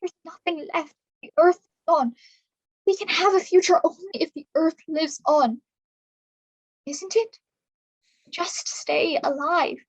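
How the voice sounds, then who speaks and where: A woman's voice: very high voice, takes it slowly, pauses often, full character voice, low-quality recording. A woman playing an anguished, defiant young female gamer in staged reader's theatre, earnest and pleading. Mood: desperate pleading.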